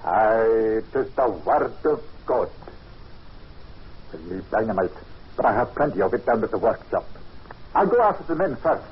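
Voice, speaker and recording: An actor playing a loyal, practical, God-fearing Scottish foreman in an old radio drama, deferential and brisk. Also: on the low side, sing-song, breathy edge, heavily acted. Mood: solemn, resolute. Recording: muffled old radio recording, faint noise.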